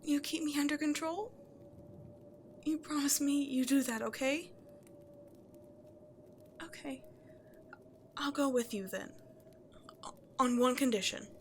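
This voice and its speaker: A woman playing a remorseful, self-loathing cursed princess turned beast in an ASMR roleplay, halting and confessional, often hushed to near-whispers. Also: sits high, a little hiss or hum. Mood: hesitant, pleading. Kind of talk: dramatic ASMR roleplay monologue to the listener.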